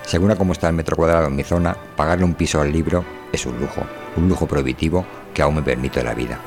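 A man narrates a scripted literary monologue in a low voice. His delivery is measured and wry, and music plays behind the reading. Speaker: voice performer reading an audio fiction.

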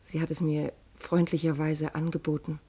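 A woman playing an anxious, devoted working-class mother in a German radio play, speaking tenderly and haltingly. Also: deep voice, level tone, mellow, husky. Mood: reassuring. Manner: hesitant, tender, halting speech.

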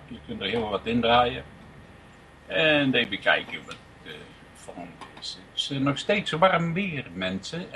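A chatty radio host played by a man who rambles along haltingly with a middle-pitched voice. He presents informally, searching for his words, over faint background noise. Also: bright, forceful tone, rough recording. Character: hesitant, rambling male radio show host. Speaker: radio music programme host.

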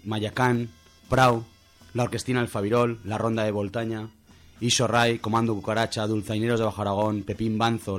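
A man presenting semi-scripted radio listings, going through them hesitantly and sometimes repeating words. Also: on the low side. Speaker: radio show contributor presenting music and festival picks.

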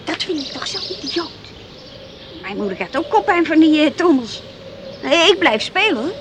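A woman's voice: high voice, very animated, normal speed, slight background noise. An actress playing a frank, friendly young girl in a radio play who teases and asks direct questions. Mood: probing. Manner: direct, probing, teasing.

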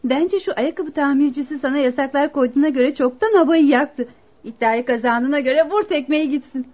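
An actress plays a gossipy young woman in a radio play. She is high-voiced, chatty and teasing, and the audio is dull and lo-fi. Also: brisk, darkish tone, lightly acted. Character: teasing, gossipy young female friend egging her on. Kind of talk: radio drama acting.

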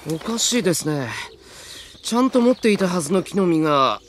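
A man's voice: high-pitched, expressive, fairly quick, noisy background. A man voicing a mischievous, teasing young fairy in an audio drama, speaking courteously with sly mockery. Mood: mildly puzzled, playful. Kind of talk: animated Japanese audio drama acting. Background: music and animal sounds.

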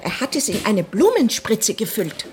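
A woman's voice: mid-range voice, very animated, moderate pace, noisy background, close to an everyday voice. An actress playing a bossy, eccentric older lady in a radio play, imperious, wry and teasing. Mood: chatty, wry.

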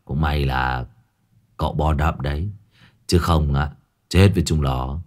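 Brief: cynical crude slacker, low mumbling growl, as a storyteller puts him on. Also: male voice, a little slow. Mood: wry. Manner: gruff, crude, mocking. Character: lazy gruff young male sidekick, crude and cynical.

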